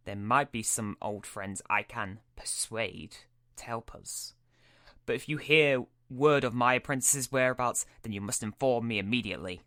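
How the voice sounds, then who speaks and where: Menacing sci-fi dark lord played by a man, imperious and curt, medium pitch, close dry sound. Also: moderate pace, dry recording, lightly acted. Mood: cold, imperious. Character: menacing male dark Force lord hunting his old apprentice. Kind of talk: fan-made sci-fi roleplay drama.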